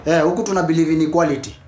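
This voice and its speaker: A Kenyan woman plays a tough young enforcer who taunts quickly. Her voice sounds roomy, with faint music behind it. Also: sits low, mellow, lightly acted.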